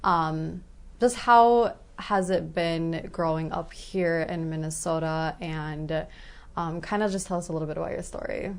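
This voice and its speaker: A woman with a somewhat low voice co-hosts a podcast. She asks curious follow-up questions and encourages her guest with short replies in casual interview talk. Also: unhurried, creaky.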